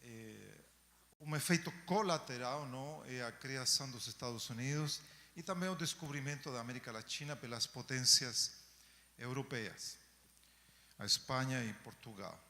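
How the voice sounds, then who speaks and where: A man's voice: medium pitch, pushed and bright. Chilean man lecturing in a reverberant hall. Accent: Chilean Spanish. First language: Spanish. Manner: unhurried, pausing often, with frequent "ah" and "não?". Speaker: guest lecturer. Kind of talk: academic talk to a student audience.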